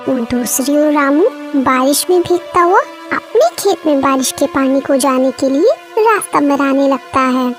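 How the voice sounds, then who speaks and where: Woman narrating a story, really high voice, bit of music in the back. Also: lively intonation, unhurried, hardly any pauses.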